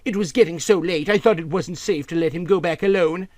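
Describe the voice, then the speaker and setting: Man acting genteel older woman, placid, mild, chatty, raised pitch, storybook dialogue, dry sound. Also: brisk, darkish tone, no room sound, lightly acted.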